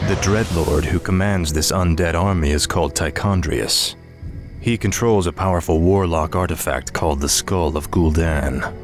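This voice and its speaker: Man as a deep, menacing undead dark knight. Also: close to an everyday voice. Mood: coldly persuasive. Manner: measured, menacing, taunting. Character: cold, cunning undead dark knight, scheming tempter. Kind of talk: dramatic fantasy game cinematic acting. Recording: loud music under the voice.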